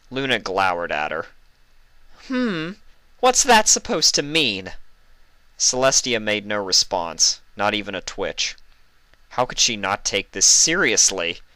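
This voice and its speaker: Male storyteller, low bright voice, expressive reading, close dry recording. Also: clear, resonant tone, no echo. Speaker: story reader and channel host. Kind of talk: expressive scripted storybook narration with casual introduction.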